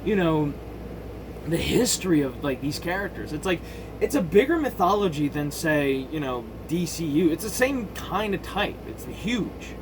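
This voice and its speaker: A man giving casual, opinionated commentary in a halting, blunt way, often interrupting himself to rephrase and swearing freely. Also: fairly high pitch, sing-song, noisy background. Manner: halting, self-interrupting, circling back to rephrase; blunt, crude slang and swearing. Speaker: video game movie commentator.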